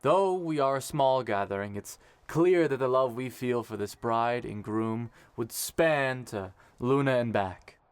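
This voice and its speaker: A man playing a dignified, sincere young emperor in an audio drama, speaking in a measured, formal way. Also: medium pitch, smooth, no echo, natural voice. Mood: solemn, sincere. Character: warm, dignified young emperor officiating a wedding.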